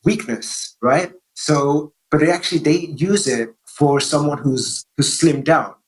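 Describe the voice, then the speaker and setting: A man guesting on a podcast, talking in a relaxed, reflective way and explaining with examples and frequent check-ins with the listener. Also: a little high, unhurried, a little hiss or hum, low-quality recording. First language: English. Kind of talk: conversational interview.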